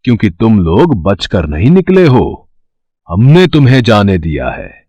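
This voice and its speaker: A man acts out a gloating male crime boss for a dramatized story. He drawls his threats very slowly and mockingly, with swooping, animated pitch, in a close, dry recording. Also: dry recording, highs cut off, heavily acted. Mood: mocking, menacing. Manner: slow drawling taunts. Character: mocking male gangster villain, gloating and menacing. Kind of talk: dramatized audio story acting.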